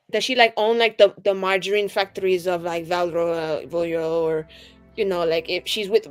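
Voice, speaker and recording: A woman playing a tabletop roleplaying game, improvising casually in a playful, rambling, joking way. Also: mid-range voice. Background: music behind the voice.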